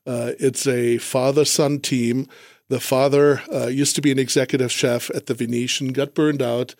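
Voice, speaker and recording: A man's voice: medium pitch, flat delivery, normal speed, a little mellow, no echo, clean studio sound. A man from Germany with a German accent, talking casually as a business guest in an interview.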